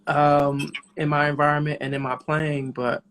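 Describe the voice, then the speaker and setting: A man in his thirties, a jazz trumpeter, talking as a guest in a casual interview in a relaxed, reflective way with many pauses. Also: a little high, crowd noise. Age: 30s.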